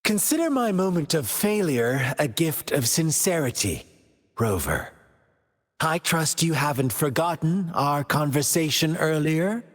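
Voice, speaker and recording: A man's voice: a little high, sing-song, a little slow, big-room sound. An actor playing a mocking, theatrical young male villain in a video game cutscene, drawing out his teasing taunts. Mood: sly.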